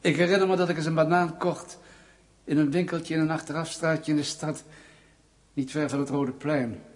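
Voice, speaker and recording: A husky, fairly high-voiced male secret police interrogator, played by a man in a radio drama. He is mock-polite and menacing, drawing out his words with pointed pauses and insinuation. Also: fairly even intonation, close to an everyday voice. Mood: quietly menacing. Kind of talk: radio play acting.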